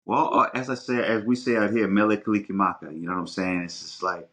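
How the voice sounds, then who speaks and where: A man's voice: on the low side, steady tone, fast talker, a bit bright. A middle-aged American man co-hosting a podcast in a casual, rambling way, thinking aloud as he goes. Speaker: podcast host.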